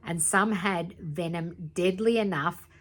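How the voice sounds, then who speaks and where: A woman reading a children's story aloud in an expressive, dramatic teacherly way, with asides to young listeners. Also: fairly low pitch, takes it slowly. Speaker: teacher reading aloud to primary school students.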